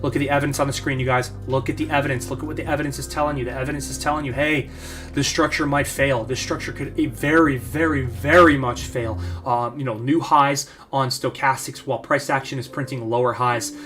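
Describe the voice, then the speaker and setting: A man hosting a market analysis channel, slightly hoarse, talking bluntly and emphatically with lots of fillers and repeated phrases. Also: mid-range voice, fairly even intonation, brisk, a bit bright, soft background music. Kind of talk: casual chart analysis talk.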